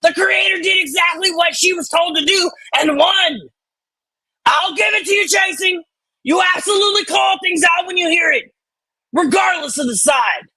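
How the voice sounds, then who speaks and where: A woman co-hosting casually, chatting and reading online comments aloud in a loud, theatrical, emphatic manner. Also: very high voice, moderate pace, no echo, low-quality recording. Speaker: co-host.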